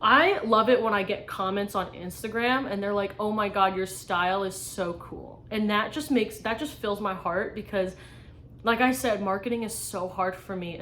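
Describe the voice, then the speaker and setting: A woman's voice: medium pitch, fairly bright tone. Young woman vlogger from New York, rambling and casual, swears offhand, faint hiss behind her. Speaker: small business artist. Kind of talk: casual vlog answering tag questions.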